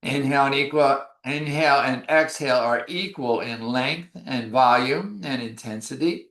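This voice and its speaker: A man leading a yoga class through breathing exercises, giving slow, calm, measured cues. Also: mid-range voice, roomy sound. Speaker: yoga instructor. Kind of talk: guided breathing instruction.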